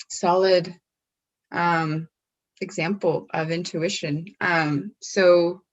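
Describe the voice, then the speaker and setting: A woman who coaches wellness tells a story in an easygoing, conversational way. She hesitates often, filling gaps with "um" and restarting her thoughts. Her voice sits a little on the low side. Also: a bit bright. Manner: hesitant, many ums and restarts.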